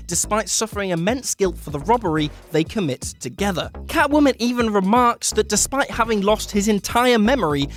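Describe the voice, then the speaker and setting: A presenter reading a scripted countdown in an emphatic storytelling way, with cheeky, slangy asides. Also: medium pitch. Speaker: presenter of a comics countdown video. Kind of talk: scripted narration of a countdown list.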